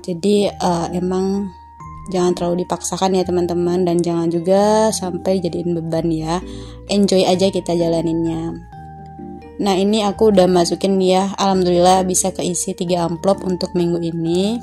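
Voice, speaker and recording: A young adult woman, hoarse from a cough, giving a chatty voice-over for her personal finance vlog with frequent "eh" fillers. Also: lowish voice, flat delivery, normal speed, mellow, faint music behind. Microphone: home voice-over mic.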